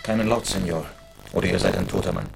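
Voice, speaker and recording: An actor playing a bold, chivalrous masked outlaw in a German radio play, with a courtly, mocking and commanding manner. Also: male voice, deep voice, quick pace, creaky, over music. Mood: calm menace.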